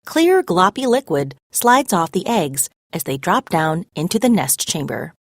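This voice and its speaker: A woman reads a children's story aloud in an expressive, measured style. Her voice sits on the low side and moves through lively swings of pitch. The recording is close and dry. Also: no room sound. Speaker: read-aloud narrator.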